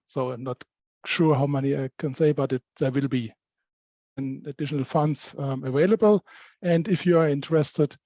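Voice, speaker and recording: A man presenting at a business community call as a working group member, speaking haltingly with non-native phrasing. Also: a little high, mellow. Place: indoor meeting room, on-site group meeting. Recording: dull, echoey meeting-room call audio.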